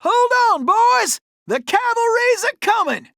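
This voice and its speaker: An actor playing a cocky, gallant young cowboy outlaw in a video game, with a folksy, exclamatory Old West Southern drawl. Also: male voice, very high voice, sing-song, measured pace, gravelly, bright, forceful tone, no room sound, studio quality, full character voice. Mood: urgent, alert. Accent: American Old West Southern drawl. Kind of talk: animated video game character acting.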